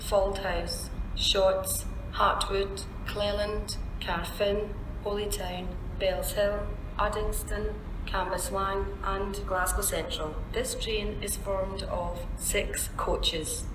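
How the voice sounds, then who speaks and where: A woman's voice: mid-range voice, steady tone. Echoey, distant woman making station announcements. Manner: formal, measured, clipped list reading. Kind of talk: scripted public-address announcements. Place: large railway station, over public-address speakers. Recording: echoey station tannoy, distant, loud wind noise. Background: wind and station ambience.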